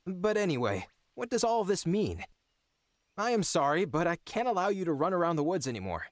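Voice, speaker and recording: A man's voice: on the high side, dry recording, clean studio sound. A young man voicing a wry, exasperated, earnest young lord in a fantasy game, with an incredulous manner. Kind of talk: fantasy game character acting.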